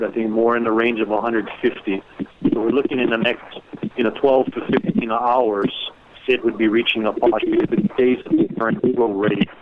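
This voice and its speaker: A man's voice: mid-range voice, a little hiss or hum. Man briefing over a tinny phone line. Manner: measured, halting, many fillers and restarts. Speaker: civil defense official briefing media. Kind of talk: informal media briefing on a conference call.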